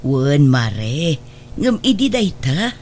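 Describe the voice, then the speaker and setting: A woman's voice: deep voice, big pitch swings, drawn-out words, a little mellow, slight background noise, full character voice. Worried, moralizing mother played by an actress. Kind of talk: Ilocano radio drama acting.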